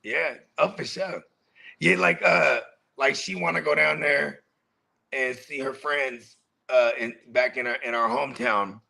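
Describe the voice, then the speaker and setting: A man's voice: medium pitch, unhurried, gravelly, bright, forceful tone. A man about forty co-hosting a casual stream chat, telling rambling easygoing stories. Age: 40s. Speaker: podcast co-host.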